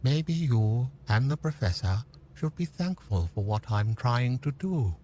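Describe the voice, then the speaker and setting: A man's voice: mid-range voice, big pitch swings, unhurried, a little mellow, a little hiss or hum. A man playing a lonely, regretful old man who bends time, speaking solemnly in an audio drama. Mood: defensive, lonely. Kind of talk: scripted audio drama acting.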